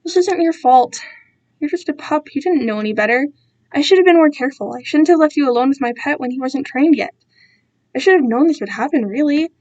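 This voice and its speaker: A woman's voice: high voice, lively intonation, brisk, darkish tone, muffled recording. A woman voicing a doting yet controlling male captor, with a patronizing manner, in a dramatic story reading. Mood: soothing, doting. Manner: cooing, patronizing.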